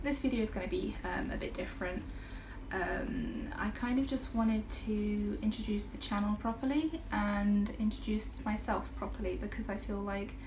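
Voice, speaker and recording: A woman in her twenties from the UK, chatting casually to camera in a rambling way, with many fillers and soft laughs. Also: mid-range voice. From United Kingdom. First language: half Brazilian, Portuguese spoken at home. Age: 20s. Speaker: vlogger. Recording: noticeable background noise.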